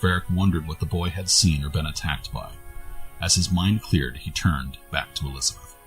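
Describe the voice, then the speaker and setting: A man narrates a scripted fantasy adventure. His voice is low, and quiet music plays underneath the reading. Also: normal speed.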